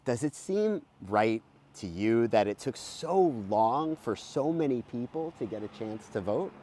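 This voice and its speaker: A middle-aged American man, a teacher reading aloud to children with an expressive, emphatic delivery and stretched key words, recorded outdoors at close range. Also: medium pitch, a little slow. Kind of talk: animated children's read-aloud with asides. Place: outdoors beside a house in a garden.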